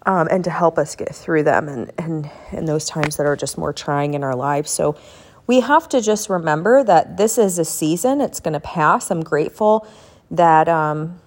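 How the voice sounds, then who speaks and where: A young woman with a notably low voice hosts a casual chat and prayer podcast. She sounds congested from a head cold. Her talk rambles along in a chatty way, full of fillers. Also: normal speed. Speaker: podcast host.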